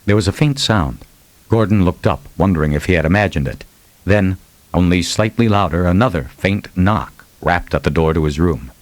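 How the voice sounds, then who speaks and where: A man's voice: sits low, normal speed, dry recording. A man narrating fiction as a scripted, dramatic audiobook reading.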